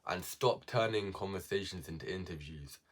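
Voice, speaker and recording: A man's voice: low voice, normal speed. A young man giving casual self-improvement advice to viewers, repeating key words for emphasis.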